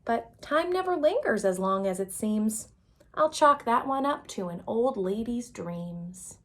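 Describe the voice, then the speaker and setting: A wistful elderly great-aunt from a children's storybook, read by a woman. She muses gently and takes her time over each line. The voice sits fairly high. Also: animated, a little slow.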